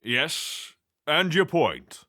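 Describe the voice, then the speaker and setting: A man plays a refined male deity in a fantasy audio drama. He speaks slowly and haughtily in a bright, forceful voice that swings dramatically in pitch. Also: sing-song, no room sound, clean studio sound, heavily acted. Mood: defensive. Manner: slow, theatrical, haughty. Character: haughty male god, refined, touchy about pets.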